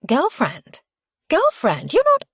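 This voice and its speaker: A woman's voice: mid-range voice, sing-song, measured pace, no echo, studio quality. A woman voicing a brash young female schemer in an audiobook, gushing, taunting and smug.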